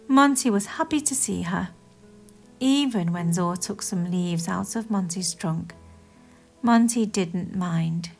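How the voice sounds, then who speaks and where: A woman narrates a children's story with a lively, swinging intonation and an unhurried pace. Her read-aloud is expressive and deliberate, with music playing underneath. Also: mid-range voice.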